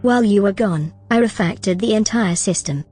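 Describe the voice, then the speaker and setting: Female AI role: calm, precise, reassuring, mid pitch. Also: normal speed, slightly rough. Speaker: AI companion character. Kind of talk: audio drama acting.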